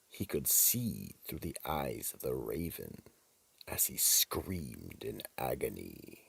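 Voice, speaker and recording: Super deep raspy guy narrating a horror radio show, slow and ominous. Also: darkish tone, dry recording. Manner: dramatic, ominous storytelling. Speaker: narrator and announcer.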